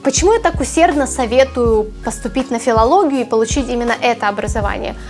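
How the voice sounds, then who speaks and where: A woman's voice: on the high side, fast, darkish tone, faint music behind. A woman from Ukraine, a native Ukrainian speaker, giving articulate, emphatic career advice in an explanatory vlog as a journalist.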